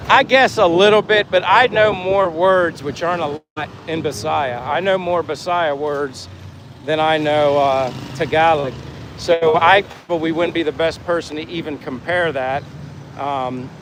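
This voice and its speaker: Clear traffic and engine noise surrounds a man talking outdoors as he walks. His voice sits a little higher than most men's. He chats casually with livestream viewers, reading their comments and responding with friendly, effusive thanks. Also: fairly bright tone. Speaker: livestream host. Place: outdoors on the move, near traffic.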